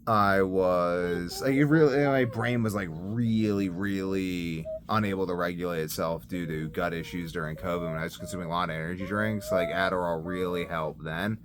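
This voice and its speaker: Low-voiced man thinking aloud. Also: fairly even intonation, moderate pace, few pauses. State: sleepy. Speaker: vlogger. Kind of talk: casual, rambling personal video diary. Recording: clear background noise.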